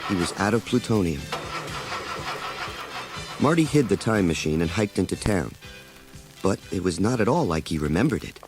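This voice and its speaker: Animated low-voiced male storybook narrator. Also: moderate pace, long pauses, over music. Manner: dramatic, expressive storytelling. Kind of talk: scripted children's read-along narration.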